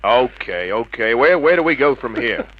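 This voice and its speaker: An actor playing a wisecracking, cynical private detective with an American accent, dry and sardonic in a 1950s radio drama manner. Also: male voice, mid-range voice, expressive, moderate pace, close to an everyday voice. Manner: dry, sardonic, hard-boiled. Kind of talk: 1950s hard-boiled radio drama acting and narration. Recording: muffled vintage radio recording.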